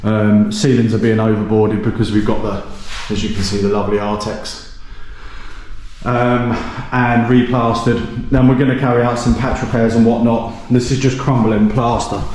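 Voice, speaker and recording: A man's voice: lowish voice, little pitch movement, moderate pace, a little mellow, slight background noise. Hesitant man touring an echoey cottage. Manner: hesitant, many ums, self-correcting. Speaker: renovation channel host. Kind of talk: casual walkthrough tour. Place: gutted small cottage, indoors and out. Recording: echoey bare rooms.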